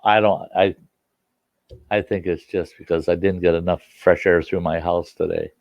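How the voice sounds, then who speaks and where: A man's voice: deep voice, fairly even intonation, normal speed, a little mellow, no room sound, highs cut off. An older man with a slightly stuffy nose, chatting and reminiscing in a folksy, rambling way as he hosts a casual livestream from home. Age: 60s or older. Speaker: livestream host and storyteller. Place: indoors at home.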